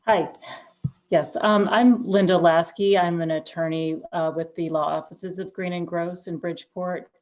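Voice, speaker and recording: Low-voiced woman attorney, formal. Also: steady tone, a little slow, a little mellow, low-quality recording. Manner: formal, careful, with fillers. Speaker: attorney for neighbors. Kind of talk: formal statement at a remote hearing.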